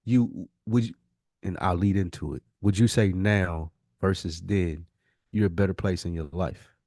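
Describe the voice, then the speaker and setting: A man's voice: sits low, fairly even intonation, a little slow, dark tone, dry recording, clean studio sound. An American man co-hosting a podcast, talking casually with heavy slang, swearing and emphatic phrasing. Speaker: podcast co-host. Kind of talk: casual conversation.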